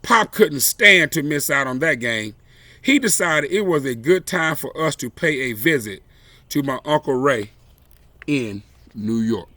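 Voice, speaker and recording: A man reading a children's story aloud expressively, with deliberate, emphatic phrasing and dramatic pauses. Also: medium pitch, expressive, bright, forceful tone, dry recording. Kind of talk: expressive read-aloud storytelling with brief asides.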